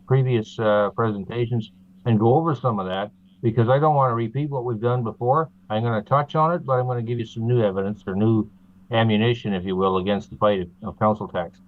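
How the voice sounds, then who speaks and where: A man from Canada, a guest in an interview, explaining steadily with frequent pauses and fillers over a video call. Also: on the low side, fairly even intonation. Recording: tinny phone-like line.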